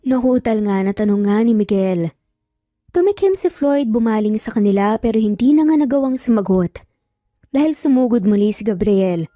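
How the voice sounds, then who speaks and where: A woman's voice: fairly high pitch, lively intonation, moderate pace, mellow, no echo, studio quality. A woman with a Filipino accent reading scripted dramatic narration as a storyteller.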